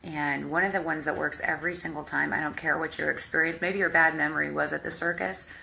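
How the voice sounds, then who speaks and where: A woman hypnotherapist with a deep voice, guiding the listener through a visualization in slow, calm, step-by-step instructions. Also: vocal fry, rough recording. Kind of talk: guided visualization exercise.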